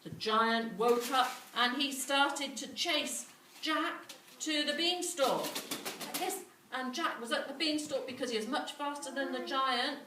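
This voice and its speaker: Woman telling kids a story, takes her time, animated, asks questions, noisy recording a bit off mic. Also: high voice. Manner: animated, repetitive, with dramatic pauses. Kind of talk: children's storytelling, asking the listeners questions. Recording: roomy, distant mic, clearly noisy.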